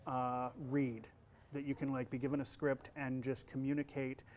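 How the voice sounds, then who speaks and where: A man with a youthful-sounding voice talks through interview questions in an easygoing, chatty way, often pausing on fillers and starting his sentences over. His pitch sits in an ordinary middle range, and a faint ambient hiss hangs behind him. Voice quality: young-sounding voice. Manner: relaxed, many fillers and restarts. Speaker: voice actor and college instructor. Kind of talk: casual interview answers.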